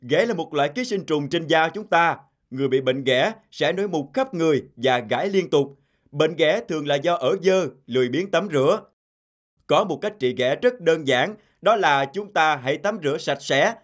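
A man's voice: fairly high pitch, expressive, moderate pace, close to an everyday voice. A man voicing a formal pencil TV doctor, presenting health advice in a cartoon. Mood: informative. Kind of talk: instructional TV presenting.

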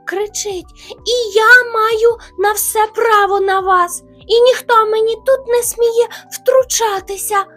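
Timid hare, polite and stammering, voiced by a woman, very high, music in the background. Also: slow pace, darkish tone. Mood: pleading. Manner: stammering, tearful pleading. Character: timid small male hare, trembling and polite.

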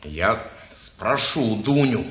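A man's voice: fairly low pitch, very animated, very slow, a bit bright, full character voice. An actor playing a sour, scornful man who grumbles sarcastically, in an echoey old radio studio recording. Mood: grumbling. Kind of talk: 1950s radio play acting.

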